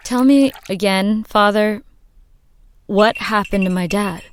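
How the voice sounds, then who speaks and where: A woman's voice: medium pitch, takes it slowly, slight background noise, close to an everyday voice. A woman playing a determined, dry-witted daughter seeking answers, in a radio drama. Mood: pressing, wry. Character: determined, dry-witted daughter probing her father's fate.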